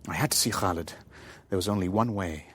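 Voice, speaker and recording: An actor playing a weary, shrewd shipping owner in a radio drama, speaking in a measured, clipped and deliberate way. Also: male voice, sits low, a bit bright, breathy edge. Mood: grave, reflective. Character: weary, shrewd shipping owner narrating his own spy ordeal. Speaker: narrator and lead character. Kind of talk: radio drama, first-person narration and dialogue.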